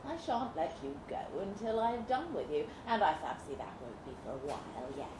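A woman's voice: fairly low pitch, sing-song, normal speed. A woman voicing a coolly cruel, mocking male villain with formal old-fashioned diction in a melodramatic story reading. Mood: coolly menacing. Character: suave, menacing Victorian villain lord, coolly cruel male captor. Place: indoor live literary event. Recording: roomy, noisy live venue recording.

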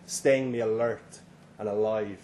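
A man's voice: on the low side, fairly even intonation, unhurried, mellow. Irish man reading a story aloud. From Ireland. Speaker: story reader. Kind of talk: live literary reading, first-person narration. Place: live reading at a London venue. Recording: live event recording, faint background noise.

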